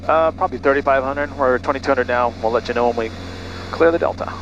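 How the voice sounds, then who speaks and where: A man's voice: mid-range voice, fast, dull audio, background noise. A middle-aged man, a private pilot, talking casually and making radio calls through an aviation headset, sometimes leaving sentences unfinished. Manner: trails off mid-sentence. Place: small propeller plane cockpit in flight. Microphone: aviation headset boom mic. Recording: engine drone in small plane cockpit.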